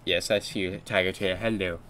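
A young man with a deep voice chatting on a gaming stream, low-key and rambling with fillers and restarts. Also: fairly even intonation, fast talker, a bit bright, slight background noise. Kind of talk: casual gaming stream chat.

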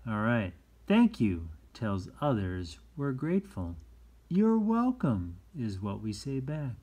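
A man who teaches, reading a children's book aloud and explaining it gently, acting out phrases expressively. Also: medium pitch. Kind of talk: children's read-aloud with commentary.

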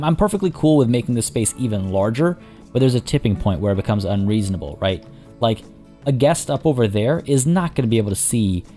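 A hoarse young man casually commenting as he plays a zoo-building game, chatty and rambling. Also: fairly low pitch, dark tone. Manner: rambling, chatty, many restarts and fillers. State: hoarse, losing his voice. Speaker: let's play host.

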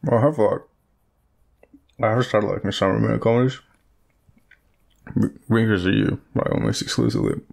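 A man playing a shy, gravelly, deep-voiced Australian friend. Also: darkish tone. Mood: bashful, relaxed. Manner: hesitant, halting, chuckling, stammering. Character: shy, easygoing young man smitten with his friend. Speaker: audio roleplay performer. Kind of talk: intimate boyfriend-style audio roleplay.

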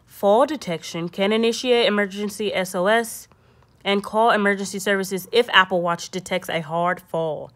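A woman's voice: medium pitch, normal speed, a bit bright. A young woman hosting a casual step-by-step tech tutorial, thinking aloud and reading prompts in an informal way.

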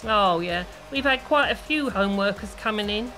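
A woman's voice: mid-range voice, animated, normal speed, bright, forceful tone, soft background music, low-quality recording. An actress playing a kindly, chatty British hairdresser in an audio play, motherly and full of endearments. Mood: bright, chatty. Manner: motherly, calls people "love".

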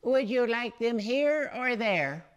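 A man's voice: very high voice, animated, takes it slowly, clear, resonant tone, highs cut off, voice pushed up, full character voice. A man voicing a small, pesky storybook creature who coaxes eagerly in singsong questions. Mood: eager coaxing.

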